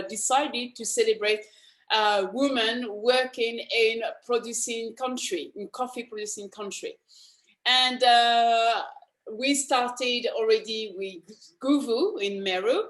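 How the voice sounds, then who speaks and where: Bright-voiced woman host in an echoey room. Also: a little high, slow. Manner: upbeat, with filler-heavy and restarted sentences. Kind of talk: casual interview hosting.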